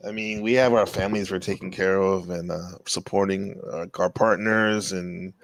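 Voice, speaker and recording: Laid-back low-voiced man, casual chat. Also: steady tone, moderate pace, no echo. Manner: laid-back, rambling, says bro and man. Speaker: streamer, teacher.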